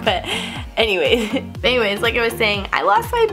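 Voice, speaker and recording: A woman's voice: a little high, big pitch swings, moderate pace, creaky, music in the background. Chatty young woman with a stuffy cold. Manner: chatty, laughs often, talks to her dogs. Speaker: beauty vlogger. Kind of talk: casual haul vlog. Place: upstairs hallway of a house, indoors. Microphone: camera-mounted mic, seated close to the camera.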